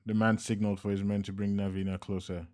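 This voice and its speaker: A man narrating an audiobook in an even, steady storytelling manner. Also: on the low side, fast talker, clean tone.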